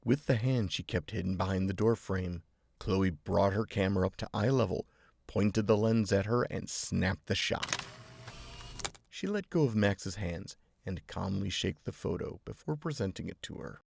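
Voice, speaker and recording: Narrator: very low, gravelly and husky, dry and close. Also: a little mellow, no echo. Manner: measured storybook reading. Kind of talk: scripted narration.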